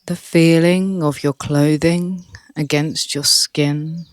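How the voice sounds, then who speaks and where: A meditation guide speaking calmly and softly, leading a relaxation session with long pauses. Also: medium pitch, no echo. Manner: calm, soft, with long pauses.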